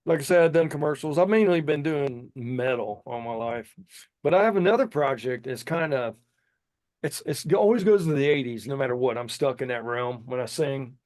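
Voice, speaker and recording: A man's voice: mid-range voice, moderate pace, a little mellow, dry recording. A man in his fifties, a singer and podcast guest, chatting casually and enthusiastically with lots of restarts. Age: 50s. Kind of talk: casual chat.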